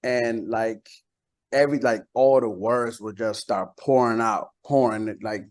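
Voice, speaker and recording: Guy rapper in an interview, casual slangy talk, middle pitch, repeats himself telling stories. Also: a little slow. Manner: slangy, repeats phrases as he tells anecdotes.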